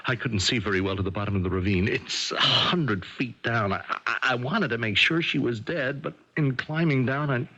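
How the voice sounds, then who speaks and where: Male, cornered blackmailer husband, halting and sharp-edged, mid-pitched, 1970s radio drama. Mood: defensive, shaken. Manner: stammering, halting. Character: cornered cheating husband, scheming blackmailer turned pleading coward. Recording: dull 1970s radio broadcast recording.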